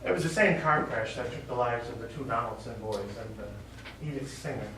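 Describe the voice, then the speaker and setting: A stage actor, a man, playing a reserved, grieving middle-aged American widower and war veteran, speaking in a halting, subdued way. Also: mid-range voice, lightly acted. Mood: subdued grief. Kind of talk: live stage play dialogue. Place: small indoor theatre studio, recorded from audience distance. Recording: very echoey, distant, noisy stage recording.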